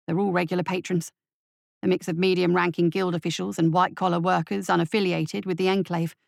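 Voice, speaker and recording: A pragmatic male deputy voiced by a woman reader in a fantasy audiobook. He speaks in a lowered, dry voice, grim and deferential, reporting in a matter-of-fact way. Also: gravelly, no echo. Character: dutiful, pragmatic male police deputy reporting to his captain.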